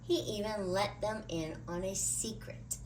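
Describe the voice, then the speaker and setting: A woman's voice: medium pitch, a little slow, darkish tone, a little hiss or hum. A woman narrating a children's storybook aloud, expressively and with dramatic emphasis.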